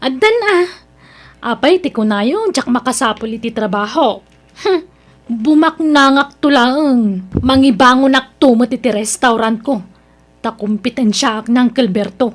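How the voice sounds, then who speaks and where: A woman's voice: fairly high pitch, lively intonation, unhurried. A woman playing a scheming, resentful young adult daughter in a radio drama, protesting in a whiny way. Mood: bitter, boastful. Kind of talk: radio drama acting in Ilocano.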